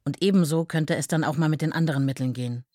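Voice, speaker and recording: An actress playing a stern, worried mother, reading a frank letter in a firm and deliberate way for a documentary. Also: sits low, very noisy, lightly acted.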